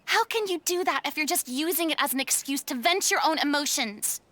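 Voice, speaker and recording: Game role: firm young woman, high-pitched, piercing, commanding and articulate. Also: fairly quick, sharp tone, no room sound. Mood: stern scolding. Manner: crisp, scolding, articulate. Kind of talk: video game voice acting.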